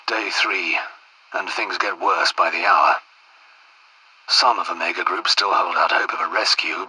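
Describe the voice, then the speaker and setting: An actor playing an anxious, earnest English royal doctor in a video game outbreak, well-spoken, polite and hesitant, with a breathy, husky voice. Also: male voice, sits low, sharp tone, exaggerated voice, low-quality recording. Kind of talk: dramatic game dialogue and audio diary entries.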